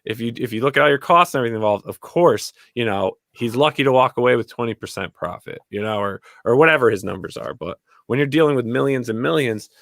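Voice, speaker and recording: A man's voice: mid-range voice, expressive, fast talker, creaky, hardly any pauses, a bit bright, no room sound, studio quality. A man talking bluntly and casually in an opinionated panel chat, repeating his key phrases for emphasis and swearing now and then.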